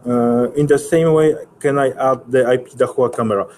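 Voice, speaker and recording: A man presenting a technical webinar and answering questions in halting, hesitant English, often searching for words. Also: medium pitch, steady tone, darkish tone, a little hiss or hum.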